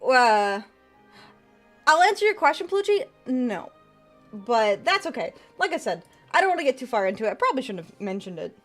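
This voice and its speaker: A woman's voice: fairly high pitch, big pitch swings, normal speed, fairly bright tone, soft background music. A woman VTuber streamer chatting casually with her viewers and giving tarot readings. She laughs often and trails off and restarts as she talks.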